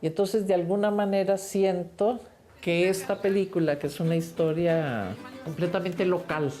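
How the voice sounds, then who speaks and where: An older woman from Costa Rica, a film director, talking about her work in a reflective, unhurried interview. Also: on the low side, steady tone, warm tone, soft background music.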